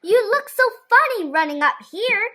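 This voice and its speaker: A woman voicing an excitable, mischievous young shepherd boy in a children's storybook read-aloud. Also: extremely high pitch, normal speed, heavy background noise, pitched above the performer's own voice. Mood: gleeful teasing. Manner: excitable, exclaiming.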